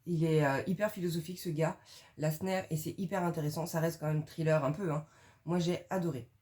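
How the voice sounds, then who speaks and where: A young woman casually recommending her favorite books in a relaxed video, chatty and slangy with lots of fillers. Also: sits low, fairly quick. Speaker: book reviewer.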